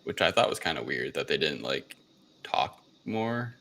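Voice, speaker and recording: A man's voice: rumbling low, very animated, normal speed, creaky, pushed and bright. A man co-hosting a review show, chatting through a recap in loose, informal phrases. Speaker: podcast co-host reviewing films. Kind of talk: casual chat recap. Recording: faint background noise.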